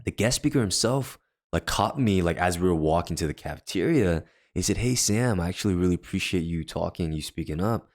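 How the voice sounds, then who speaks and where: Deep-voiced young man telling a long story. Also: animated, brisk, darkish tone. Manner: rambling, filler-heavy storytelling. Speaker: podcast co-host. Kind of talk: casual storytelling chat.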